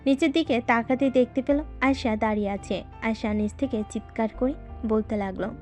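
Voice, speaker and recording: A woman's voice: on the high side, brisk, faint music behind. A woman working as a narrator, reading a scripted story.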